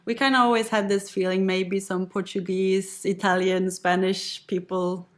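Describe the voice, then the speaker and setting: A woman in her late thirties from the west coast of Norway, with a Norwegian accent, speaking English natively Norwegian, telling her story as a podcast guest in a relaxed, reflective way. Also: medium pitch, normal speed. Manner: reflective, easygoing, with light laughs. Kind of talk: casual conversational storytelling.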